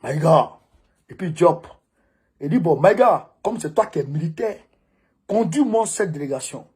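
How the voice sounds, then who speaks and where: A man with a West African French accent delivering an informal political monologue as a commentator, emphatic and repeating phrases for stress, with a husky voice. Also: fairly high pitch, expressive, a little slow, darkish tone.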